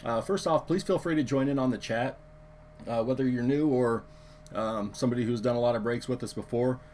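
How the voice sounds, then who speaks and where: A man with a hoarse, worn voice hosting a live card-opening stream, chatting loosely with viewers and using many fillers. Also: medium pitch, fairly quick, a little hiss or hum. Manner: relaxed, filler-heavy, talks to chat viewers. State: hoarse, lost his voice. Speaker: card break host.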